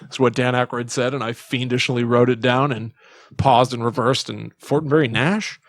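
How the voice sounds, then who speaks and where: Male podcast co-host. Conversational, mid-pitched, animated when retelling and quoting. Close, dry recording. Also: normal speed, dry recording. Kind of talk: casual chat, retelling and quoting.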